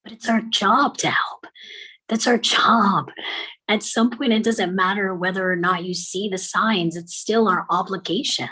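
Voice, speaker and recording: A woman's voice: mid-range voice, fairly even intonation, measured pace, bright, forceful tone, breathy edge, rough recording. A woman playing an earnest, reproachful mother in a dramatic script read, reasoning insistently. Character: earnest, reproachful mother urging parental responsibility.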